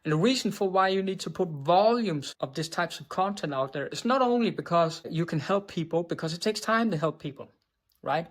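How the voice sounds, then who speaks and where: A man with a Danish accent coaches on business in a blunt, profane monologue, his voice pitched a little high. He tacks "right?" onto his points and trips over words, then just keeps going. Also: animated, brisk. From Denmark. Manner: stumbles and restarts words, unbothered. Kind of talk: direct-to-camera monologue.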